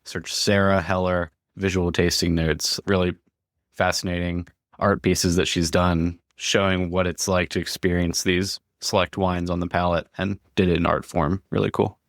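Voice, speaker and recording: A man's voice: sits low, flat delivery, normal speed, no room sound, studio quality. A man co-hosting a podcast, talking in a casual conversational style. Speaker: podcast co-host.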